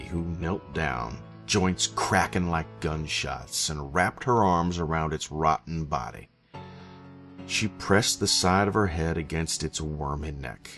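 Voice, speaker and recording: A man reading a first-person horror story in a deliberate, theatrical way with dramatic pauses. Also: deep voice, a bit bright. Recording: narration over background music. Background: eerie background music.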